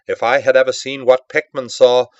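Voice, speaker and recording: A man's voice: medium pitch, flat delivery, moderate pace, dry recording, muffled recording, natural voice. A man playing a nervy, confiding art devotee who tells a horror story in an emphatic, conversational, theatrical way. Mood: uneasy, confiding. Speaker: audiobook narrator. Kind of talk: dramatic first-person story reading.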